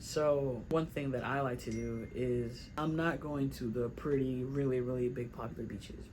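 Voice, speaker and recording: A man's voice: medium pitch, steady tone, normal speed, darkish tone, rough recording. Young man, rambling reflective talk, faint hiss in the studio. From Miami, South Florida. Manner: rambling, reflective, repeats himself. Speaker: rapper and vlogger. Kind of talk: casual studio vlog talk. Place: recording studio.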